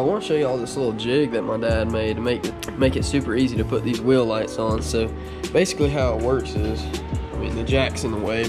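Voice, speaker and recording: Stuffed-up young man in a garage. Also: mid-range voice. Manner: relaxed, plain explanatory talk with fillers. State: congested, stuffed-up nose. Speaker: automotive vlogger. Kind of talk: casual how-to vlog with voiceover. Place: home garage workshop. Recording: music playing behind.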